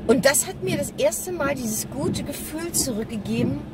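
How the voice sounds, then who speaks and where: Woman vlogging over loud car noise. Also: high voice, animated, normal speed. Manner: rambling, candid, addressing viewers. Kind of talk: casual vlog chat. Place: inside a car while driving. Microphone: camera mounted in front, at a distance. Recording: car cabin, loud driving noise, distant mic.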